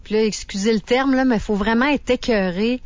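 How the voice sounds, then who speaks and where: A woman co-hosts a radio interview. She talks in a chatty, expressive way, and her voice is fairly high. The sound is dry and close, with a dull radio quality. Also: a little fast, dry recording. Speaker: radio co-host. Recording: dry, muffled radio studio.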